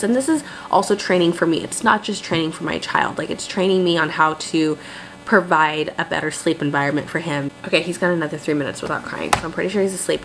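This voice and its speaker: A young woman, a stay-at-home mom, talking casually to camera in a home video diary, hoarse and rambling with many fillers. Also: low-pitched, slight background noise, poor audio. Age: young adult. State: hoarse, losing her voice. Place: home, bedroom and kitchen.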